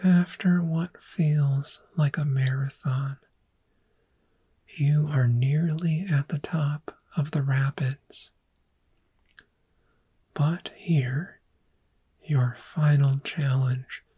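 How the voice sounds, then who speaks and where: A woman playing a calm, gentle dragon therapist, speaking in hushed, breathy, slow and soothing ASMR guided imagery. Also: extremely low pitch, exaggerated voice. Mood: soothing, encouraging. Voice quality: breathy, whispery.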